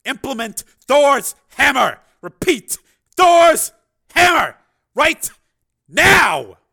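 A man voicing a furious, wounded military general, shouting commands in an audiobook thriller. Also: extremely high pitch, sing-song, extremely slow, gravelly, voice pushed up, full character voice. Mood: commanding, urgent.

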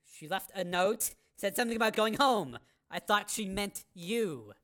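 An actress voicing a distraught estranged mother, anxious, halting and tearful in a dramatized audiobook. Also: mid-range voice, animated, a bit bright.

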